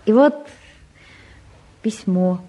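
Soft, breathy, shy young woman. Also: medium pitch, expressive, drawn-out words, full character voice. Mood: shy, hushed. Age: young adult. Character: shy, tender young woman, quietly in love. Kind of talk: mid-century Soviet radio drama acting.

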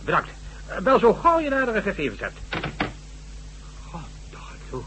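An actor playing a shrewd, authoritative high-ranking government official in a radio drama, talking in a smooth, calculating way. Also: male voice, huge pitch swings, normal speed, noisy background. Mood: tense. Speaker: statesman addressed as excellency. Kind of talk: 1970s radio drama acting.

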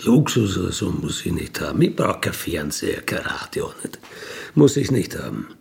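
An actor playing a wistful, dying ex-robber in an intimate radio monologue, halting and musing as he trails into murmurs. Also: low-pitched, sing-song, creaky, exaggerated voice. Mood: yearning, resigned. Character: wistful dying ex-robber dreaming of freedom in Siberia.

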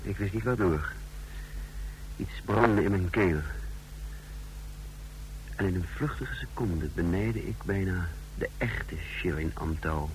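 An actor playing a cold, calculating secret agent, narrating a radio play in a measured, brooding, gravelly way. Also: male voice, sits low. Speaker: narrator and lead character. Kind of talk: dramatic first-person radio play narration. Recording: humming, noisy old radio recording.

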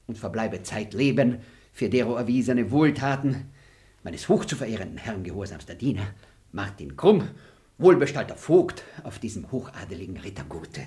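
A man's voice: on the low side, dark tone, lightly acted. An actor voicing a sly, fawning and bigoted male estate bailiff in a radio play, talking in a wheedling, theatrical, giggly way. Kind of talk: German radio play acting.

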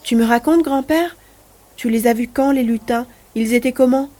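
A high, husky little child , played by a woman, speaks with eager curiosity. The grandchild is trusting yet doubtful, expressive and full of questions, in an audio drama. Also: moderate pace, close to an everyday voice. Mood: eager, curious. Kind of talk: French-language audio drama acting.

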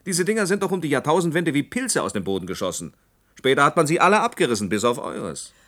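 Dry, sceptical visitor, lively intonation. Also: male voice, on the high side, fast talker. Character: sceptical male visitor newly arrived on the island. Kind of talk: 1960s German science fiction radio drama acting.

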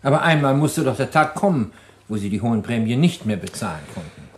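An actor playing a sceptical public prosecutor in a German radio crime play, questioning in a pointed, incredulous way. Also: male voice, mid-range voice, sing-song, fairly quick, slight background noise, lightly acted. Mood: probing.

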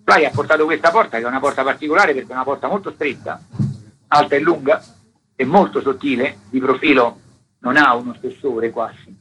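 A man being interviewed as a theatre performer, explaining his work emphatically in long, wordy sentences with a husky voice. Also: medium pitch, brisk, pushed and bright, slight background noise, rough recording. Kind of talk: reflective interview talk. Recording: radio interview broadcast.

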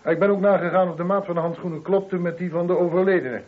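A man's voice: high-pitched, fairly even intonation, fast talker. A man playing a dutiful police constable in a radio drama, giving a factual report. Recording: tinny, narrow-band old radio drama recording.

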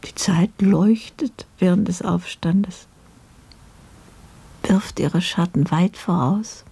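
A contemplative female radio play narrator. Her voice is very husky and breathy with a gravelly edge. She moves slowly, weighing each phrase in a dark tone. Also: lowish voice, heavily acted. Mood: hushed, reflective. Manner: measured, contemplative. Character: reflective woman narrator recalling a servants' uprising.

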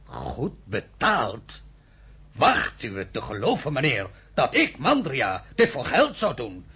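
An actor playing an emotional, proud Cypriot shipowner in a radio play, with a husky, theatrical delivery. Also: male voice, mid-range voice, huge pitch swings, slow pace, heavily acted. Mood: indignant. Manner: theatrical, indignant, swings between soft and loud.

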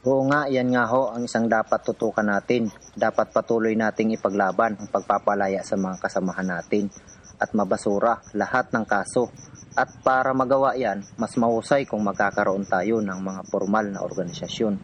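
A man's voice: lowish voice, fairly even intonation, normal speed, highs cut off, slight background noise, close to an everyday voice. A man playing an earnest, determined activist from the urban poor, telling his story in a radio drama. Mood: earnest rallying. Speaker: narrator and protagonist. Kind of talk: radio drama narration and dialogue. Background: music.

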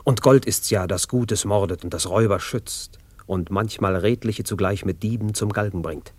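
A man's voice: fairly low pitch, normal speed, a little hiss or hum, lightly acted. An actor playing a haughty, cynical young nobleman in a classic radio drama, pompous and theatrical. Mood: cynical, musing.

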